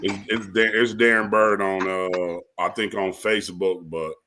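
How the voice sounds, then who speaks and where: A man's voice: low voice, fairly even intonation, a little slow, heavy background noise. A man from Dayton, Ohio, a cast member and manager, telling teasing stories in casual video-call chat. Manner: joking, teasing storyteller.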